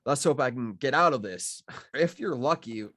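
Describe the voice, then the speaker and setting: Man playing a wry drifter cowboy, animated swings. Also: medium pitch, normal speed, dry recording. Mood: rueful, chatty. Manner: sardonic, weary, rambling to his companion. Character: gruff middle-aged drifter cowboy, wry, talking to his robot buddy. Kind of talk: acted table read of a screenplay.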